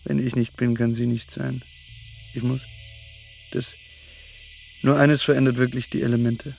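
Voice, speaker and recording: An actor playing a brooding, desperate young son in a radio drama, speaking in terse, fragmented phrases. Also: male voice, medium pitch, level tone, unhurried, creaky, darkish tone, faint music behind. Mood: despairing, brooding.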